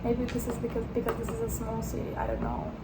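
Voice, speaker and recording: Young woman, from Turkey, Turkish native, rambling, hesitant, slightly high voice, noisy street ambience. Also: very animated, fast. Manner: rambling, hesitant, many restarts. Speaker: vlogger. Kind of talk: casual personal vlog.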